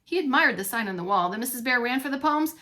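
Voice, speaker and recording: A woman reading a storybook aloud to young children as a teacher, expressively, pausing to comment and ask questions. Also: on the high side, expressive, pushed and bright. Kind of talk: animated read-along storytelling for young children.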